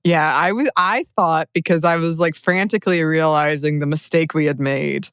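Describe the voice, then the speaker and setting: A woman's voice: sits low, fairly even intonation, normal speed, smooth, dry recording, clean studio sound. A woman co-hosting a podcast, telling an anecdote in a casual, conversational way. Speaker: podcast co-host.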